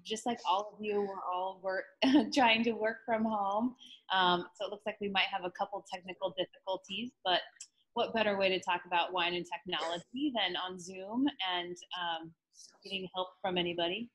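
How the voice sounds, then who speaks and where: Husky woman moderating a video call. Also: mid-range voice, steady tone, normal speed, a bit bright, low-quality recording. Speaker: panel moderator.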